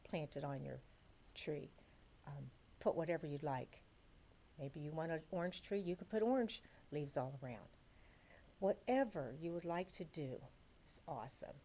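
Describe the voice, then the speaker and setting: A woman, a school librarian, reading aloud and chatting to young children about crafts in simple, direct, unhurried words. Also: low-pitched, expressive, dark tone, a little hiss or hum, rough recording.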